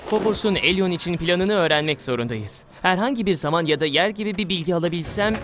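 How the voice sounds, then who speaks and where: A man's voice: sits high, expressive, fast talker. A man voicing a bossy, impatient cartoon schemer who gives insistent orders. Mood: determined. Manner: commanding, insistent. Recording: loud music behind the voice.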